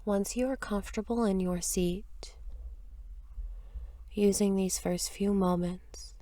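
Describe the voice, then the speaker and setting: A woman leading a guided meditation in a soft, gentle, soothing voice, with unhurried phrases and long pauses, at times breathy. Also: mid-range voice, fairly even intonation, no room sound. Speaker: meditation teacher.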